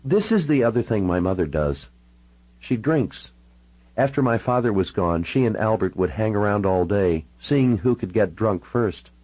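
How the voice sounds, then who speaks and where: Audiobook role: troubled, candid woman, wry and informal, husky, lifted pitch male narrator performing. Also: expressive, normal speed, a little mellow, no room sound, rough recording. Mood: bitter, wry. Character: troubled, sardonic young-to-middle-aged woman, hard-drinking and candid. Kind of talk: audiobook character dialogue.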